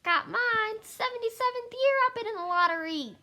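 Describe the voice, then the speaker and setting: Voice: very high voice, animated, a little fast, sharp tone, full character voice. A young woman in an amateur play voicing a proud, defiant old villager in a crotchety, emphatic manner. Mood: crotchety pride. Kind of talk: amateur one-act play acting. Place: filmed on a video call.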